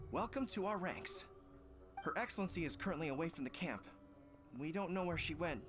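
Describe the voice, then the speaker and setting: An actor playing a stern, dutiful army general in a video game, speaking in a measured, formal, authoritative way. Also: male voice, high-pitched.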